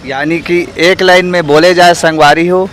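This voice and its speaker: Chhattisgarhi guy hosting a vlog, pretty high voice, earnest, some faint street noise behind. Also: fairly even intonation, moderate pace, fairly bright tone. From Chhattisgarh, India. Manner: earnest, addresses viewers directly as friends. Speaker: host and street interviewer. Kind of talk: vlog presenting with public opinion questions.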